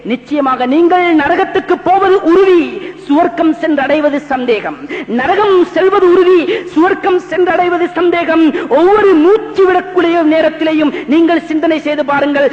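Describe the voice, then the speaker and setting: A man's voice: very high-pitched, moderate pace. A man preaching an impassioned Islamic sermon, dramatic and exhortatory, with repeated phrases, rhetorical questions and quoted Arabic scripture. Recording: dull, reverberant hall recording.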